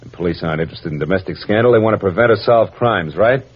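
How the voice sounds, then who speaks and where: An actor playing a world-weary, wry American private detective in old radio noir style, talking in clipped slang. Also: male voice, deep voice, fast talker, husky. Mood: brisk, persuasive. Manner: hard-boiled, clipped slang. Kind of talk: 1940s radio noir acting and first-person narration. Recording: dull old radio recording with music.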